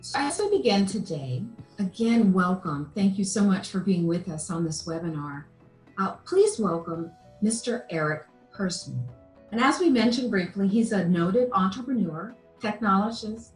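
A woman's voice: mid-range voice, expressive, normal speed, faint music behind. A woman co-hosting a webinar, formally introducing the guest speaker. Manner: formal, welcoming announcement. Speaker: webinar co-host. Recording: roomy echo.

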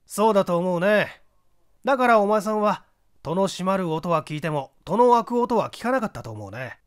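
A man as a composed detective with lively intonation. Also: high-pitched, moderate pace. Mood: consoling, explaining. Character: Kudayū, composed, kindly, shrewd amateur detective.

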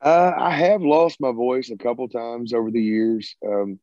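A man's voice: mid-range voice, steady tone, unhurried, dark tone, no echo. A man in his thirties with a Southern American accent, a rock singer casually answering interview questions with a rambling, laid-back manner over a video call. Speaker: rock band singer and guitarist. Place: home, by a sided wall. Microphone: video call, earbuds. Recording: muffled dry video call audio.

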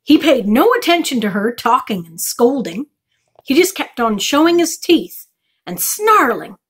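A woman's voice: high-pitched, sing-song, a little slow, breathy edge. A middle-aged woman reading a children's chapter book aloud as a storytime reader. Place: small furnished room indoors.

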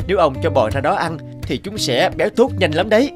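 A man voicing a hardworking, determined young peasant farmer in a cartoon, earnest and expressive. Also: on the high side, fairly quick, over music, natural voice. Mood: persuasive. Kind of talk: cartoon dialogue acting.

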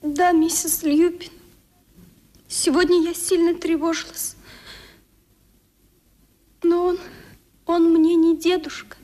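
A young lady companion in a radio drama. Her voice is high and quiet, with a gentle, reserved politeness. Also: level tone, slow pace, pauses often. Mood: gentle, subdued. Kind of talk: radio play acting.

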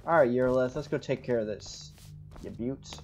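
A man's voice: medium pitch, normal speed, highs cut off. A man giving casual role-play commentary over a video game, making laid-back, wry asides in character. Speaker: gamer role-playing his character. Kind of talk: casual roleplay gameplay commentary. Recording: game music and sounds behind. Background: video game audio.

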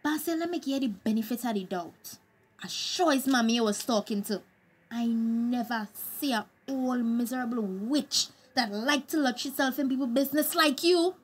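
A young village woman with a Tobagonian accent, a defiant grown daughter. Her voice is high and her manner cheeky and confrontational, in radio drama style. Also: normal speed, slightly rough. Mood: insulting, angry.

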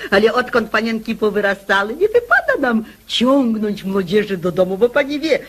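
A woman's voice: fairly high pitch, sing-song, moderate pace, fairly bright tone, husky, full character voice. A woman playing a gushing, rambling married mother in a radio comedy, gossipy and falsely humble. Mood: gushing, plaintive. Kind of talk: theatrical radio comedy acting.

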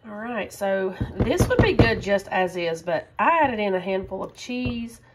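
A woman's voice: mid-range voice, big pitch swings, measured pace, heavy background noise. A woman, chatting casually as she cooks in her home kitchen. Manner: chatty, enthusiastic, says y'all often. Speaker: cooking vlogger. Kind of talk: casual cook-along chat.

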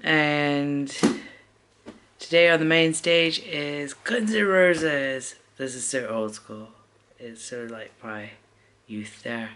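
Slow, low-voiced woman vlogging on a phone. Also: fairly bright tone, slight background noise. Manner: chatty, teasing, self-narrating. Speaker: family vlogger. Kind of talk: casual vlog chat to camera. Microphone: phone held at arm's length.

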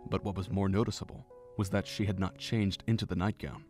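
A man narrating a fan audio drama in an even, measured reading voice. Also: sits low. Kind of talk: scripted story narration. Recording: home narration with faint music.

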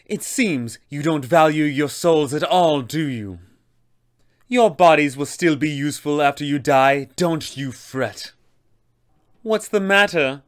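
A haughty undead elven sorceress , acted out by a man, menacing and imperious as a tabletop fantasy villain. She taunts slowly, drawing out each threat in a raised, forceful pitch. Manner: slow, taunting, imperious delivery.

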